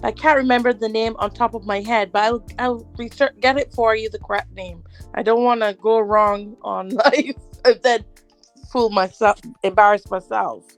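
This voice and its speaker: A woman's voice: fairly high pitch, fairly even intonation, normal speed, faint music behind. A middle-aged Barbadian woman, a guest in a casual interview, talking hesitantly with many fillers and restarts.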